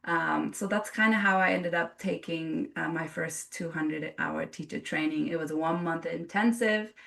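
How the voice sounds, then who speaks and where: A woman's voice: low voice, normal speed, gravelly, fairly bright tone, far from the mic. A woman who grew up in Japan, a yoga teacher telling her story in an interview, earnest and reflective with frequent restarts.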